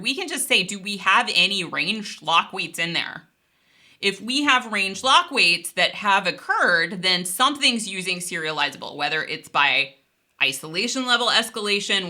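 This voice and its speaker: Female tech instructor, slightly low pitch with a very bright, sharp tone; conversational, emphatic explainer delivery. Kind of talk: technical software lesson, conversational explaining.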